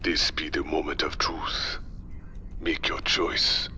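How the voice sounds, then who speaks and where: An actor playing a brooding, menacing psychic assassin with a Caribbean accent and a breathy, deliberate delivery in a video game. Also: male voice, extremely low pitch, flat delivery, sharp tone, whispered, exaggerated voice. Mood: menacing, persuasive. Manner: slow, intimidating, deliberate. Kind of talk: dramatic video game acting.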